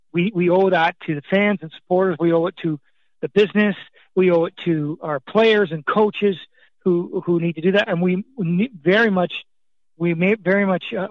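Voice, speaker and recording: Halting league executive on a dull line. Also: male voice, high voice, no room sound. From born in Scotland, raised in Canada. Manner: halting, repeats words, many fillers. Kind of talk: radio interview answers. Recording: dull, dry remote line.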